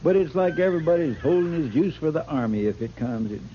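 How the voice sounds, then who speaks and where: An actor playing a loyal, deferential frontier deputy with a folksy drawl, in 1950s radio western style. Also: male voice, fairly high pitch, lively intonation, fast talker, dark tone, lightly acted. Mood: earnest, respectful. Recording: muffled, hissy old radio recording.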